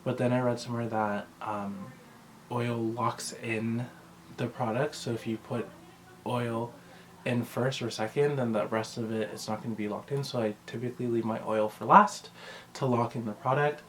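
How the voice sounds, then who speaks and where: A man's voice: medium pitch, steady tone, normal speed, a bit bright, slight background noise. A man talking casually in a vlog, rambling and conversational as he often interrupts himself. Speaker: vlogger. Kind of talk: casual chat to camera about personal routine.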